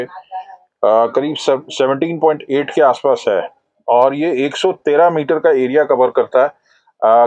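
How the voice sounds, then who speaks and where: A man's voice: mid-range voice, darkish tone, dry recording. Middle-aged man with a dull, dry lapel sound. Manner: explanatory, hesitant with frequent "uh" fillers. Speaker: shop owner reviewing binoculars. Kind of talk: product review. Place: binocular shop, indoors, shelves of boxes. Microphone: lapel mic.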